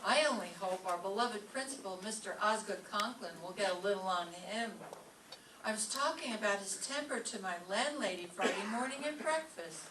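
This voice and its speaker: A woman playing a put-upon English teacher, delivering deadpan wisecracks in an old-time radio comedy. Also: medium pitch, normal speed, natural voice. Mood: wry. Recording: noisy echoey stage, distant mic.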